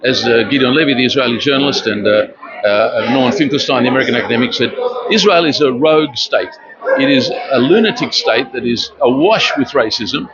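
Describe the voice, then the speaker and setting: An older man from Romania speaks earnestly, pausing often and filling gaps with "uh". He is interviewed outdoors at a street protest. The recording is muffled and lo-fi, with loud noise all around. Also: medium pitch. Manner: earnest, halting with many fillers.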